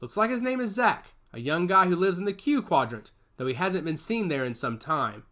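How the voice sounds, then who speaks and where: A man's voice: high-pitched, animated, moderate pace, bright, forceful tone, pitched above the performer's own voice. A man voicing a male soldier who reads out information in a matter-of-fact way during a story reading. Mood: informative. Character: young male soldier relaying scan data.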